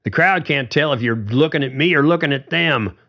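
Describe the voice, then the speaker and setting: A man's voice: mid-range voice, animated, measured pace, pitched above the performer's own voice. A man voicing a blunt, carefree male bandmate who drinks and swears freely, in a needling, riled-up way. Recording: dull lo-fi recording.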